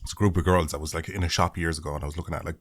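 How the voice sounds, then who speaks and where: A man's voice: sits low, fairly even intonation, very fast. A young man, a podcast guest, trading crude casual banter, swearing a lot and laughing at his own jokes.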